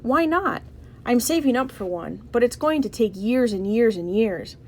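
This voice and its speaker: A woman's voice: on the high side, lively intonation, normal speed, a little mellow, slight background noise. A woman voicing a spirited, outspoken young schoolgirl in a storybook, frank and emphatic. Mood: excited.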